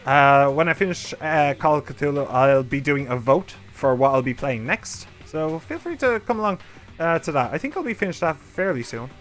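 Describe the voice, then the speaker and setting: A man's voice: on the high side, animated, moderate pace, a bit bright. A man streaming a game, chatting casually and rambling as he talks to his viewers. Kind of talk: casual let's play commentary. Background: game music.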